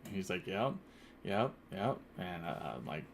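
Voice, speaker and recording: A man's voice: low-pitched, very animated, unhurried, gravelly, slight background noise. A man hosting a podcast interview, talking casually and rambling with many fillers and restarts. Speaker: podcast host. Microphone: earpiece headset.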